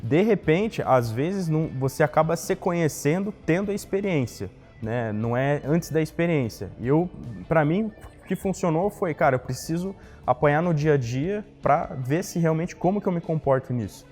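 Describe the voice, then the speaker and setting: A man's voice: on the high side, expressive, normal speed, hardly any pauses, a little mellow, long reverb tail, faint music behind. A young man, an economics graduate, answers interview questions in a casual, reflective way and tags many sentences with "né".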